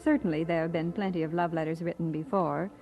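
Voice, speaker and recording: A woman's voice: sits low, steady tone, quick pace, darkish tone. An actress playing a devoted young woman in a radio drama, speaking in a poised, sentimental, literary manner. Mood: wistful, fond. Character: devoted young bride-to-be, bright, gently teasing, reflective. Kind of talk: radio drama acting and reminiscing narration. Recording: dull vintage radio drama, music behind.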